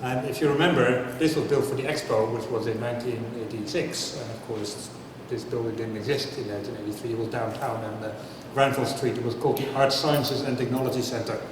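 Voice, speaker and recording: Man in his fifties, chatty public talk, self-deprecating, booming hall echo, heard from a distance. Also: steady tone, brisk, few pauses. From Netherlands. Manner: chatty, self-deprecating, asks the crowd questions. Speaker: speaker at a science talk night. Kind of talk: casual public talk with audience banter. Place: large hall before a live audience. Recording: echoey hall, mic from afar, noisy.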